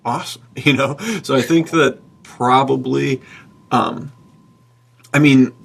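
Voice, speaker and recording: A man's voice: medium pitch, fairly even intonation, slow pace, creaky. A man co-hosting a podcast, talking casually with an enthusiastic, slangy, buddy-like manner. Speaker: podcast co-host and writer.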